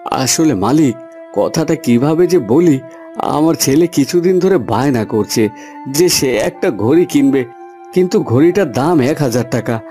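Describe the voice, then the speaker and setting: A man's voice: medium pitch, expressive, a little slow, mellow, natural voice. A man voicing a humble, hesitant poor farm labourer and father in a fairy-tale cartoon. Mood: hesitant, pleading. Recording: music under the voice.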